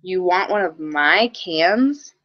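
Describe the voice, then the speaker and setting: A woman's voice: lowish voice, lively intonation, slow pace, pitched below the performer's own voice. A woman voicing a nervous, kind-hearted first-grade boy in a children's story read-aloud. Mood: guarded. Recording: dull lo-fi recording.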